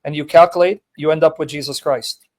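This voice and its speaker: A man with a fairly high voice hosts a casual call-in debate. He talks bluntly and teases his caller, wandering off mid-sentence while fiddling with his equipment. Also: fairly even intonation, fairly quick. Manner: blunt, teasing, rambling while fumbling with his setup. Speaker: live-stream host debating callers.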